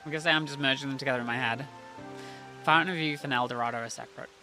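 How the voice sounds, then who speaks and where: A man's voice: medium pitch, racing, bright, forceful tone, soft background music, low-quality recording. A man streaming a game and chatting over it with joking asides. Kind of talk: live game stream commentary.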